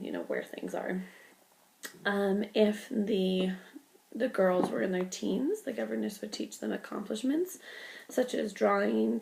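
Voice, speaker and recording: A woman's voice: mid-range voice, a little mellow, slight background noise, rough recording. A young woman with a cold and a stuffy voice, giving an informal class presentation full of ums and likes. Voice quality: gravelly creak. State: congested with a cold. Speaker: student presenter.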